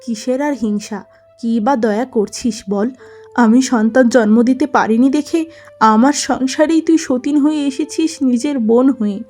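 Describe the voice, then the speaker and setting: A woman's voice: fairly high pitch, moderate pace, dark tone, soft background music, natural voice. Woman voicing a plaintive, long-suffering village wife. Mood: hurt, bitter. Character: long-suffering, gentle elder wife, childless and humiliated. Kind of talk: melodramatic cartoon story acting.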